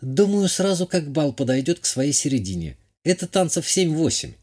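A man acts out a young countess from an audiobook novel, pitched up. She is genteel and coquettish, socially shrewd and poised. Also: sing-song, moderate pace, a little mellow. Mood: wry.

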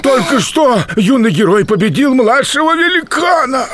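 An actor playing an excited, frightened peasant man in a radio play. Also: extremely high pitch, moderate pace, no room sound, exaggerated voice.

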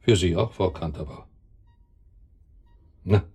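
A deep, husky hospital doctor. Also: male voice, takes it slowly, a little mellow, exaggerated voice. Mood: reassuring. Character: calm, reassuring hospital doctor on night duty. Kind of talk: radio drama dialogue.

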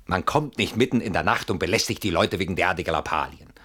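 An actor in a German radio play voicing an evasive, self-justifying man with a guilty conscience, halting and brooding, protesting in sudden outbursts. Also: low voice, animated, bright, forceful tone, full character voice. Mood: dismissive.

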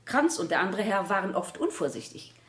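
An actress playing a righteous, bitter nurse who testifies deferentially as a witness in a German radio play, recorded in an echoey, somewhat noisy room. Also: female voice, medium pitch, very animated, a little fast, fairly bright tone. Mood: deferential, earnest. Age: 30s. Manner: dutiful, deferential, vehemently indignant. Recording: echoey room with background noise.

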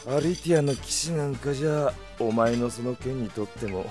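An actor playing a brash, rough bandit chief with coarse, commanding speech in an audio drama. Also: male voice, medium pitch, normal speed, music in the background, natural voice. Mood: cocky. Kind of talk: anime-style audio drama acting.

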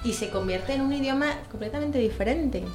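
Mexican woman talking on radio, kinda high voice, roomy sound with music behind. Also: fairly quick. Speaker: radio panelist. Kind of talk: casual radio chat.

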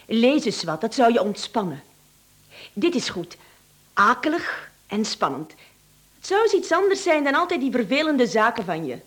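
A caring wife in a domestic radio drama. Her voice is high and very melodic. She coaxes and chats, with a touch of exasperation. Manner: coaxing, mildly exasperated, domestic. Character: caring, chatty wife of a nervous man. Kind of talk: radio play acting.

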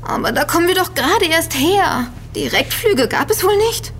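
An actress playing a young, impatient, sardonic and quick-witted woman in an audio drama, teasing her friends dryly. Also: very high voice, animated, fairly bright tone, slight background noise, exaggerated voice. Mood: wry, knowing. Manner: teasing, dry sarcasm. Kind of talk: German audio drama dialogue.

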